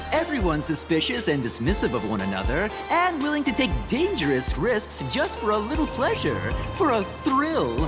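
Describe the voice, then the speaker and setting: A woman playing a devout, effusive recruiter for a happiness society in a video game, speaking formally and preachily. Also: medium pitch. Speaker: recruiter for a mutual aid society. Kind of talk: theatrical video game dialogue.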